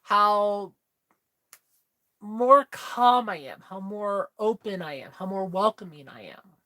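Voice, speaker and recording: A woman's voice: mid-range voice, expressive, takes it slowly, pushed and bright. A woman in her thirties chatting candidly with viewers on a livestream, telling a personal story in a rambling way full of fillers. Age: 30s. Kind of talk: casual chat with viewers.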